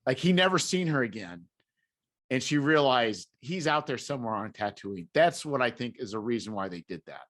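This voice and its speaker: A man's voice: mid-range voice, lively intonation, normal speed, a bit bright. A man in a casual podcast conversation, explaining his opinions in an informal way. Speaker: podcast speaker discussing film franchises. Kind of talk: casual chat.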